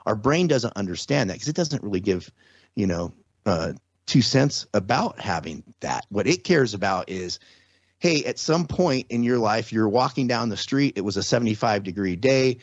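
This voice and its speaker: A man, talking things through in a relaxed, conversational way. He builds his explanations step by step with everyday examples. The sound is dry and a little dull, like a modest lo-fi setup. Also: on the low side, normal speed, no room sound. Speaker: podcast guest. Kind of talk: casual explanatory interview talk.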